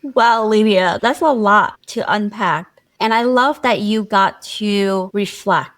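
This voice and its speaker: A woman's voice: mid-range voice, slow pace, a bit bright, no echo. A woman hosting a podcast interview, upbeat and gushing with praise for her guest. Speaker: podcast host.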